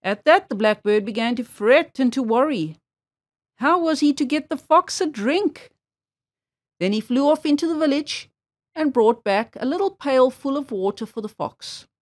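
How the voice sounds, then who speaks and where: A woman reads a children's story aloud. Her voice sits a little high and moves with animated intonation, phrasing each sentence expressively as a storyteller. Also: moderate pace.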